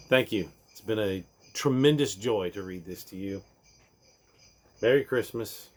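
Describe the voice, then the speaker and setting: A man's voice: lowish voice, a little slow. Theatrical man reading a rhyming storybook. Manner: theatrical, emphatic, swelling and dropping at line ends. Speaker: reader of a parody Christmas storybook. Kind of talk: dramatic rhyming storybook read-aloud.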